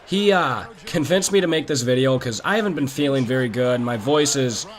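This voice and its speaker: A man with a hoarse, worn voice talks casually over a video game. He is opinionated and chatty, addressing his viewers directly. His pitch sits in the middle range. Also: brisk. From Minnesota, USA. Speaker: gaming channel host. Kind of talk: casual sports talk over gameplay.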